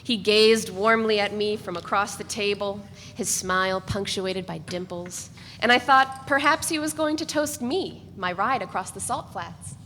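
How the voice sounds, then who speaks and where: A woman reading first-person literary narration aloud in a measured, even way. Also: mid-range voice. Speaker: reader. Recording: echoey room with faint noise.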